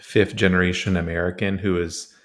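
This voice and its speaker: A young man with a very deep voice co-hosts a comedy podcast. He talks in a laid-back, easygoing way, full of fillers and frequent laughter. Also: level tone, fairly quick. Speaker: podcast co-host. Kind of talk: casual comedic chat.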